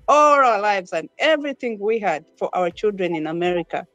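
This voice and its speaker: A woman's voice: mid-range voice, animated, a little fast, fairly bright tone, no room sound, muffled recording, soft background music. Chatty woman guest, dry close sound. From Uganda. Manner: laughs often, many restarts and fillers. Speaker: podcast guest. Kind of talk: casual interview conversation.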